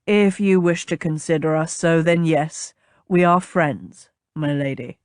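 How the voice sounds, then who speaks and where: A woman voicing a stiff, pompous male captain in an audiobook, with a low growly tone and a clipped, exasperated, formal manner. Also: little pitch movement, a little slow, no room sound, clean studio sound. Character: gruff, pompous man, a stiff captain and bodyguard.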